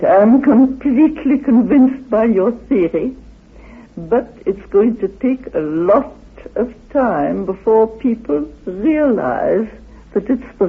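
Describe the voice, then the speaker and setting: An older man giving a reflective interview as a researcher, speaking in an emphatic, deliberate way. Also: sits high, vocal fry, slightly rough, phone-quality sound. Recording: band-limited old radio interview.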